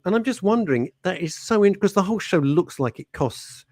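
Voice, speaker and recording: A man's voice: high-pitched, brisk, no echo. A man co-hosting a radio talk show, telling rambling stories with laughs and false starts in casual studio chat. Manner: lively, rambling storyteller with laughs and restarts.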